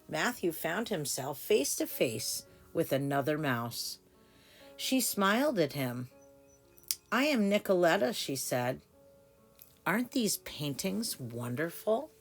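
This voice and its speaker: A woman reading a children's storybook aloud with deliberate, dramatic phrasing for small children. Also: low-pitched, creaky. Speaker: story time reader. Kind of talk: expressive children's storybook narration.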